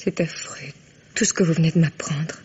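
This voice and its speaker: An actress playing a proud, wounded young daughter in a radio drama, husky and earnest. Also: female voice, deep voice, steady tone, moderate pace, darkish tone, dull audio, a little hiss or hum, lightly acted. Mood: shaken, upset. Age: young adult. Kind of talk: French radio drama acting.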